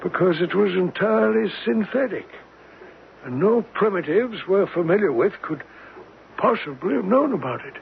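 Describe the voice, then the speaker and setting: An actor playing a scholarly older professor in a 1970s radio drama, speaking with a hesitant, deliberate manner. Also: male voice, high voice, expressive, gravelly. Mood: grave. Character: scholarly older male professor, absent-minded yet eager. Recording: noisy, tinny old radio broadcast.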